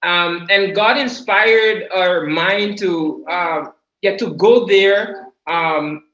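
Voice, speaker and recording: A man from Guyana sharing his ministry testimony at a table, halting and repeating words as he goes. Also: high voice, pushed and bright. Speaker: ministry speaker. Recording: echoey muffled video call.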